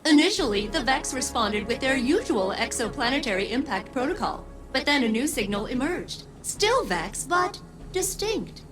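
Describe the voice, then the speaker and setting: A woman's voice: sits high, sing-song, normal speed, music in the background. A woman voicing a cheerful, quirky female artificial intelligence in a video game, bubbly yet literal and precise in her wording. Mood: analytical. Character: cheerful, quirky female ship AI, eager and sincere. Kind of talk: video game cutscene acting.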